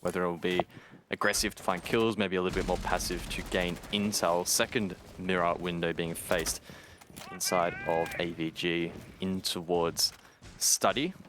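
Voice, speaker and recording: A man doing brisk play-by-play commentary on an esports match, his voice rising at the big plays. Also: flat delivery, noisy background. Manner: brisk, rises in pitch at key plays. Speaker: esports caster.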